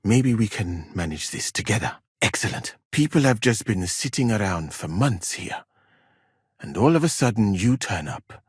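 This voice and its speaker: Casting: courteous, learned traveler-physician for a game; lowish husky voice, formal careful wording, expressive swings in pitch. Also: male voice, no room sound, clean studio sound. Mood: warm, approving. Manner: measured, formal, careful phrasing. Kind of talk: video game character dialogue.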